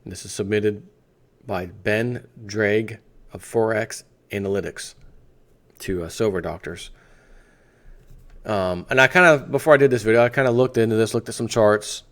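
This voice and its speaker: A man with a fairly low voice presents online financial news. He reads from a written piece in a conversational way, stepping out of it to add his own remarks and rhetorical questions. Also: moderate pace, pauses often, a bit bright. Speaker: host of a financial news video channel. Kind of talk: reading an article aloud with off-the-cuff commentary.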